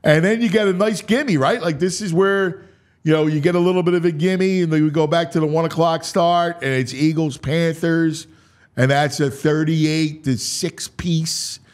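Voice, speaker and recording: A man hosting a sports talk show, mixing dramatic, drawn-out delivery with casual, offhand asides. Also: on the high side, steady tone.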